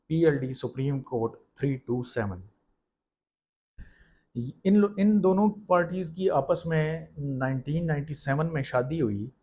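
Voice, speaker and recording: A man's voice: medium pitch, a little mellow. A man with a Pakistani accent explains law in an informal lecture style, mixing in English legal terms.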